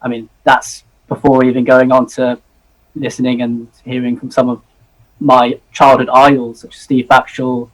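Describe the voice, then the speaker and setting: A man's voice: medium pitch, level tone, moderate pace, a bit bright, rough recording. Earnest young man on video call. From Hong Kong. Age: 20s. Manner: earnest, eager, many ums and uhs. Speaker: zoology student guest. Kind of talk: live video-call interview.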